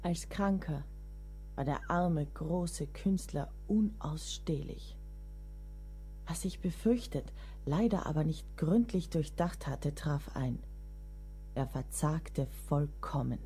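An actress in a radio play playing a composer's composed companion, recounting memories in a measured, solemn, literary way. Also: female voice, low voice, dark tone, soft background music. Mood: solemn, reflective. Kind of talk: scripted radio play narration.

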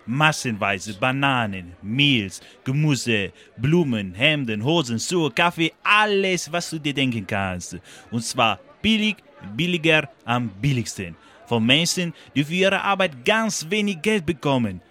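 A man's voice: medium pitch, expressive, a little slow, sharp tone. A man playing an indignant, worldly-wise talking banana in a political radio play, lecturing emphatically and repeating words for effect. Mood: lecturing, outraged.

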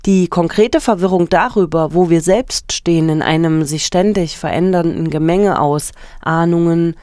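A woman's voice: fairly low pitch, dark tone, no echo. Woman reading quotations in dry sound. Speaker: news co-reader.

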